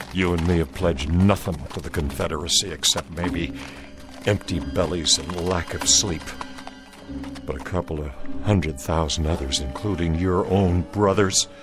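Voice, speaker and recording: An actor playing a stern, resolute Confederate cavalry soldier in a radio drama, with a folksy, commanding Southern US accent. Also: male voice, deep voice, measured pace, music in the background.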